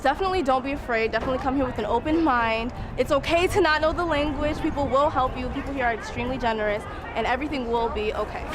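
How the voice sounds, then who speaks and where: High-voiced earnest young woman. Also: quick pace, hardly any pauses, a crowd in the background. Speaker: student in a study abroad program. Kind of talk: earnest testimonial. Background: music.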